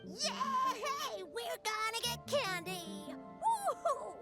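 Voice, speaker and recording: A woman voicing an excitable, eager little child in a video game. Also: extremely high pitch, drawn-out words, over loud music, heavily acted. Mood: excited.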